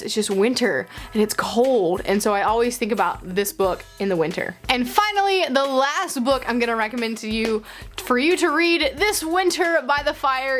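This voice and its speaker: High-pitched young woman, chatty vlogger. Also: a bit bright. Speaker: book vlog host. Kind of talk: casual chatty recommendations to camera.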